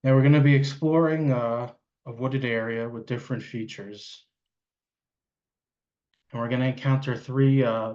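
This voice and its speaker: A man leading an improvised guided meditation, speaking hesitantly and pausing mid-sentence. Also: medium pitch, darkish tone, dull audio.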